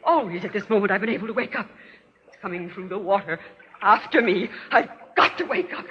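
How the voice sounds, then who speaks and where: An actress playing a guilt-ridden, murderous stepmother trapped in a nightmare, melodramatic and halting, in old-time radio horror style. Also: mid-range voice, natural voice. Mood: terrified, desperate. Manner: melodramatic, halting fragments, from whispers to shrieks. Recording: dull, lo-fi old radio recording with noise.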